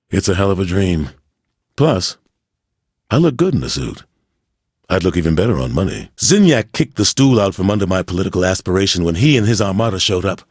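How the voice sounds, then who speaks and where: Deep-voiced, self-assured actor turned statesman. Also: slightly rough, dry recording, clean studio sound, full character voice. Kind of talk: scripted character monologue.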